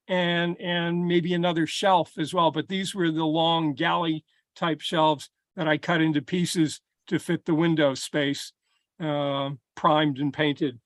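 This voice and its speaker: A man casually explaining how he built a model, in a relaxed, explanatory way with a few fillers. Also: fairly high pitch, slow, dry recording, clean studio sound. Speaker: hobbyist presenting his model. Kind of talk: casual show-and-tell explanation.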